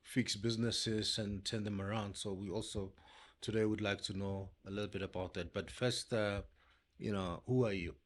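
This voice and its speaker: A man hosting an interview, asking short prompting questions and agreeing quickly. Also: lowish voice, fairly even intonation. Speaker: host. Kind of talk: casual interview chat.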